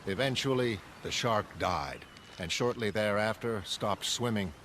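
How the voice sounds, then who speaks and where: A man voicing a grim castaway sailor who narrates a macabre pirate tale in a solemn, brooding, deliberate way. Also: on the low side, a little hiss or hum. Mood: grim, anguished. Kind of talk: literary horror narration. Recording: loud music underneath.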